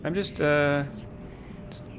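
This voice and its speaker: A man giving casual, unhurried gameplay commentary on a livestream, in a calm, low-key voice, thinking aloud as he goes. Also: noisy background.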